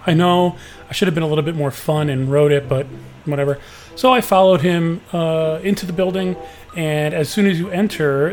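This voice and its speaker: A man with a booming, somewhat high voice hosts a podcast, recapping his day in a relaxed, chatty way. Faint music plays underneath. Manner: chatty, easygoing storytelling. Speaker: podcast host.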